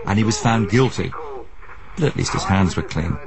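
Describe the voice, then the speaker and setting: A man's voice: on the low side, animated, moderate pace, crowd noise, poor audio. A man presenting a radio documentary, mixing scripted narration with probing questions in a wry, inquisitive manner.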